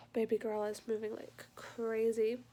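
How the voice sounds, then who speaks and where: A woman's voice: on the high side, monotone, takes it slowly, mellow. A young woman chatting informally in a casual personal vlog, sounding congested with a blocked nose and a sore throat. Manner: chatty, informal, laughs lightly at her own complaints. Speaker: vlogger. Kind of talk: casual pregnancy update vlog.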